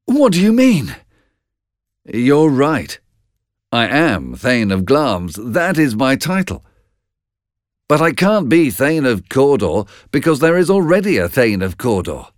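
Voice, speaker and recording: A man's voice: medium pitch, sing-song, unhurried, no room sound, clean studio sound, voice pushed up. A man voicing a brave, puzzled, loyal warrior in a storybook, speaking with urgent insistence. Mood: insistent, puzzled. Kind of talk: storybook narration.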